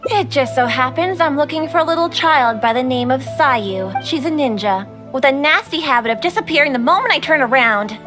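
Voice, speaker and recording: A courteous, dutiful woman overseer in a video game. Her voice is high and expressive, with formal, composed phrasing. Music runs underneath. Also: a little fast, hardly any pauses. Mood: mildly exasperated. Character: polite, dutiful female overseer of a young ninja. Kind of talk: video game character dialogue. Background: background music.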